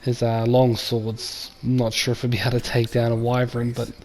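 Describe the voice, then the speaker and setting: A man giving casual let's-play commentary, croaky after a flu, rambling with many ums. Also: medium pitch, completely flat delivery, moderate pace, darkish tone. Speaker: gamer.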